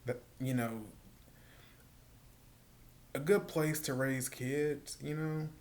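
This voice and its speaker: A man with a soft voice, stuttering and repeating words as he talks casually to the camera in a personal vlog. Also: medium pitch, fairly even intonation. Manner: stuttering, halting with many repeated words and fillers. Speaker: vlogger.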